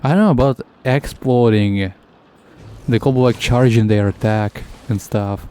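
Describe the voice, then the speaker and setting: A man who is a native Russian speaker, streaming a game with casual, halting, jokey commentary. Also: mid-range voice, warm tone, clear, resonant tone.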